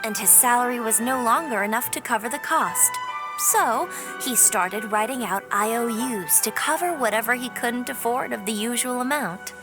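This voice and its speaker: A woman voicing a composed, polite, shrewd shopkeeper in a video game, measured and courteous. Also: fairly high pitch, lightly acted. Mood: explanatory. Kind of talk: video game cutscene voice acting. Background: game music.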